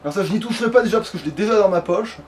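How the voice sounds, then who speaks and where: A man's voice: sits high, rapid-fire. A man giving casual, informal, sweary commentary while playing a video game. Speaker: let's player playing a fantasy game. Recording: distant mic, faint room noise.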